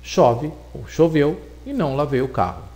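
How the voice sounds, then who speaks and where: A man giving a recorded logic lesson, explaining deliberately and repeating points for emphasis. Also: fairly high pitch, expressive, darkish tone. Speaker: teacher.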